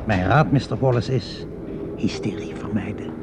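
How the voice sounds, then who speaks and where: An actor playing a polite, probing police inspector in a radio drama, halting, musing and understated. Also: male voice, lowish voice, lively intonation, background noise. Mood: dry. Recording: dull lo-fi radio play recording.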